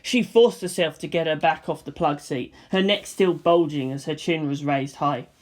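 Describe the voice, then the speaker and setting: A woman's voice: low voice, moderate pace, darkish tone. A woman narrator reading fan fiction as dramatic scripted narration.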